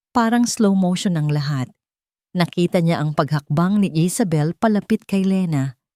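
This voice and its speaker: A woman narrating a dramatic romance story in a measured, theatrical storytelling manner. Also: lowish voice, mellow, no echo, studio quality.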